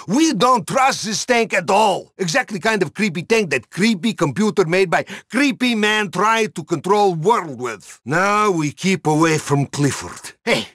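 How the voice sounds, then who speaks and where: A gloomy Russian naval man for a video game. He speaks deadpan, dramatic broken English in a Russian accent. The voice sits high for a man and is very dry. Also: no room sound. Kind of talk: video game character dialogue.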